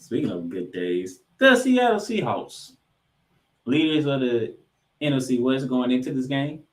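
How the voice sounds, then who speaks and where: A man co-hosting a sports podcast, recapping football and reading stats with emphatic, drawn-out key figures. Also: mid-range voice. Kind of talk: football recap commentary with stat read-outs. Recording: echoey, roomy sound.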